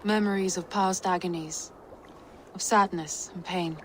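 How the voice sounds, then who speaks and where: An actress playing a composed, formal advisor. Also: female voice, lowish voice, steady tone, a little slow, a little hiss or hum, natural voice. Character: composed female steward and advisor. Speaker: game character.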